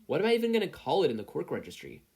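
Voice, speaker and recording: A performer voicing an excitable, earnest teenage boy superhero student in an audiobook style, eager and fretful. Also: racing, gravelly, husky, exaggerated voice. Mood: eager, flustered. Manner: eager, fretful, high-strung. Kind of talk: audiobook-style character acting.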